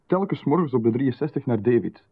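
A man with a Flemish accent, playing a calm, insistent stranger in a radio drama who seems to know far too much. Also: mid-range voice, brisk, slightly rough. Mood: insinuating. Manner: calm, insistent, coolly overfamiliar. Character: calm, knowing male stranger who watches closely. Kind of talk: 1990s radio drama acting. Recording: narrow, phone-like radio broadcast.

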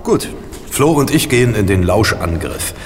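A man's voice: on the low side, sing-song, moderate pace, a little hiss or hum. A man playing an alert, matter-of-fact young sleuth in a German audio drama. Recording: long hall reverb, music underneath.

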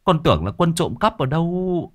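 A man's voice: fairly high pitch, big pitch swings, moderate pace, pitched above the performer's own voice. Man doing a blustering, hot-tempered village husband. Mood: stunned, apologetic. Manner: blustering, stammering, coarse.

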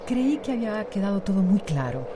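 An actress playing a guarded, skeptical, bold hairdresser in a radio soap. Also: female voice, mid-range voice, big pitch swings, fairly quick, background noise, close to an everyday voice. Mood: cool, wary.

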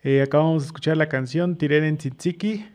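A man's voice: on the high side, steady tone, fairly quick, a little mellow. A man hosting a casual radio interview in a polite, somewhat hesitant way. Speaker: radio host.

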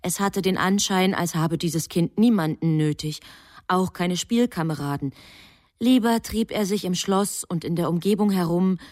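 An actress playing a composed angel who matter-of-factly narrates a human life in a radio drama. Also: female voice, lowish voice, normal speed, darkish tone, no room sound, clean studio sound, natural voice.

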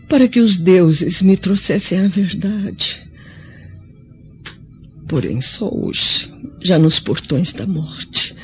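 A mellow-voiced, dying Roman widow speaks very slowly in a Brazilian accent, solemn, tender and full of remorse. It is formal radio drama over music, with a slightly dull recording. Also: female voice, fairly low pitch. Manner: slow, formal, sorrowful, theatrical. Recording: dull lo-fi audio with music behind.

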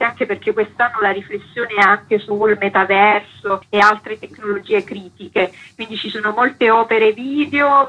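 Female curator as interview guest, forward bright tone with some huskiness, explanatory delivery. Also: mid-range voice, breathy edge, highs cut off.

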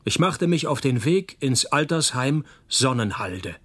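An actor playing a wry, unflappable male private detective, narrating and questioning in a deadpan, sardonic way in a radio play. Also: mid-range voice, a little slow, breathy edge. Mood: dry, deadpan.

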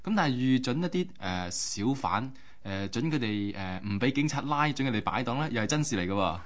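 A man with a Hong Kong accent, presenting as a radio co-host in a chatty, colloquial, expressive way. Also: medium pitch, a little fast. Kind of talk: conversational presenting.

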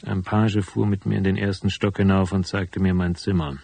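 A man playing a hard-boiled private detective in a noir radio drama, with a gravelly, husky voice and a dry, laconic delivery. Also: low-pitched, level tone, normal speed, a little mellow, heavily acted. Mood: dry, reflective. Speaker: first-person narrator and lead character.

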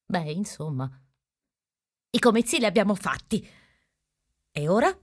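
Radio play role: formal, easily scandalised debater, husky and very animated in pitch. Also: female voice, measured pace. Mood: dry. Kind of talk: theatrical radio drama dialogue.

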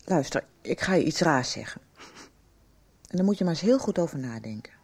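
A direct worried lady doctor in a radio soap, soft mellow voice, goes up and down a lot. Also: low-pitched, expressive, husky, exaggerated voice. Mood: probing, gentle. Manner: direct, insistent, deliberate questioning. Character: probing, concerned female doctor questioning a teenage patient. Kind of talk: Dutch radio soap acting.

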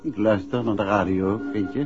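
A man's voice: fairly low pitch, steady tone, normal speed, over music, close to an everyday voice. An actor in a 1960s Dutch radio play playing a worried, tender husband and amateur detective who speaks affectionately to his wife. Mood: tender, relieved. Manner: affectionate, calls his wife pet names. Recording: dull, muffled old radio recording.

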